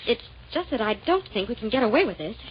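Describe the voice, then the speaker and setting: A woman's voice: on the high side, sing-song, fast talker, slightly rough, exaggerated voice. A woman playing an anxious accomplice in an old-time radio crime drama. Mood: worried. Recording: muffled vintage radio recording.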